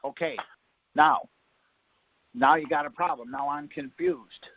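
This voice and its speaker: A man's voice: on the high side, measured pace, breathy edge. A man hosting a conference call, talking in a rambling, self-correcting way, often saying "see?" and "um". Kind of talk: casual explanatory talk. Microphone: phone line. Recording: muffled phone-call audio.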